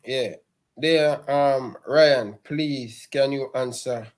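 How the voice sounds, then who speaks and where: A man's voice: mid-range voice, drawn-out words. A man from Jamaica, co-hosting a casual football chat and often repeating words as he starts his sentences. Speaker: co-host.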